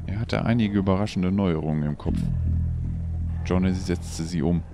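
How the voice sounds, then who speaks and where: A man's voice: sits low, moderate pace, over music. A man narrating an audio drama in a dramatic, scripted storytelling style.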